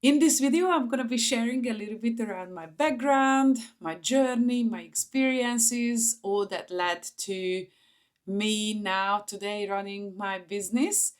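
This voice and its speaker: A woman's voice: medium pitch, measured pace. A woman in her forties with a Hungarian accent, talking informally to camera as she shares personal stories. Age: 40s. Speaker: vlogger, entrepreneur.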